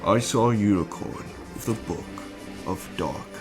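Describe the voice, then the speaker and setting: A man acting in an amateur dramatic reading as a scheming, menacing villain bent on conquest, speaking in a measured, formal, commanding way. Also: on the low side, natural voice. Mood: cold, calculating. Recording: music bed under the voice.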